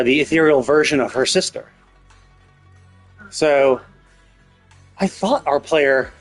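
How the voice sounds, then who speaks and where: A man giving casual, speculative game commentary as a live streamer, thinking aloud and often rephrasing himself. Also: mid-range voice.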